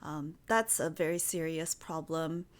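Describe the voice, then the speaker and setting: A young woman in her twenties from the United States, a guest teacher answering interview questions in a slow, gentle, clearly spoken way. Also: sits low. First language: Korean.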